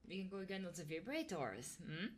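A woman's voice: fairly low pitch, very animated, brisk. A woman chatting casually to her live audience on an ASMR stream, often checking in with her listeners in a coaxing way. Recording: clear buzzing electric motor noise. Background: buzzing hum of a handheld massager.

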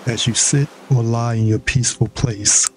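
A man narrating a scripted guided meditation in a measured, instructional way with long pauses between phrases. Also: mid-range voice, fairly even intonation, a little hiss or hum.

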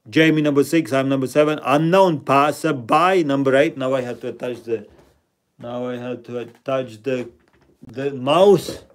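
A man's voice: mid-range voice, unhurried. A man, chatting playfully with viewers while he plays a word game on a live stream at home. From Latvia. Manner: playful, self-mocking, reads out chat names and rankings. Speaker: livestream host. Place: indoor room at home.

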